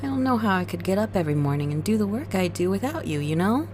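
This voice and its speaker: A woman's voice: medium pitch, very animated, fast, a little mellow, slight background noise, lightly acted. A woman playing a doting werewolf girlfriend in a comedic ASMR roleplay, gushing in baby talk with pet names. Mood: tender, weary. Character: doting, sugary werewolf girlfriend home tired from work.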